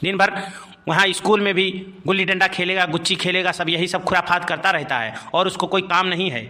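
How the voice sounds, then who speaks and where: A man acts out a village schoolteacher in a comic cartoon, stern yet easily cowed. His voice sits high and has a bright, forceful edge. He speaks in an admonishing, complaining way. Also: fairly quick. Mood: indignant.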